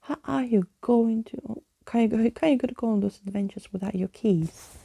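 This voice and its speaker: A woman's voice: on the high side, moderate pace, vocal fry, warm tone, breathy edge, no room sound. A woman voicing a grumpy, impatient young girl in a storybook, snappy and scornful.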